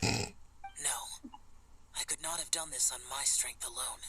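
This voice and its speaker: A proud, brooding immortal male guardian for a video game. His delivery is solemn, formal and clipped. The voice is gravelly, with faint noise behind it. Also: mid-range voice, slow pace, pushed and bright, exaggerated voice. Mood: humble, grave.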